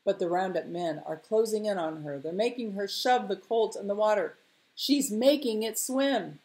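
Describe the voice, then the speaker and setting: A woman voicing an excited, rustic fisherman lookout who bawls urgent reports in a hoarse, raspy shout. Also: mid-range voice, moderate pace, close to an everyday voice, poor audio.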